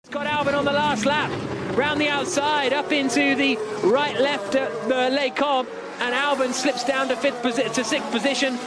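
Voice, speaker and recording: A man's voice: extremely high pitch, little pitch movement, normal speed, heavy background noise. A man giving live motor racing commentary as the lead commentator, calling the action fluently and emphatically.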